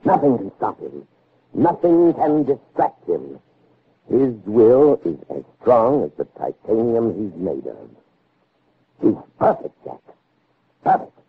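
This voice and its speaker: An actor playing an intense, obsessive scientist with theatrical, brooding delivery in an early 1960s radio drama. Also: male voice, lowish voice, very animated, slow pace, long pauses, husky, phone-quality sound, exaggerated voice. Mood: exultant. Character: intense, obsessive male scientist impatient with human weakness. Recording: thin, noisy old radio recording.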